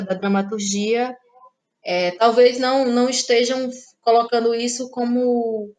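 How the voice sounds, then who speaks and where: A young woman from Ceará with a Northeastern Brazilian accent, a guest playwright in a podcast interview, thinking aloud with restarts and frequent tag questions. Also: a little high.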